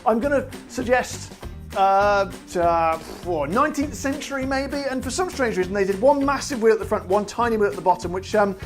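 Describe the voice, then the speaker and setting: A man's voice: high-pitched, animated, brisk, soft background music. A man with a British accent hosting a casual gin review, rambling and self-deprecating, often stumbling over words. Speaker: gin review show host. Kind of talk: casual spoken product review.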